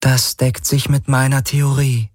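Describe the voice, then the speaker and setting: A man reading an audiobook voices a calm, knowing artificial presence, speaking in a measured, explaining way, higher than his own voice, husky and dry. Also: no echo.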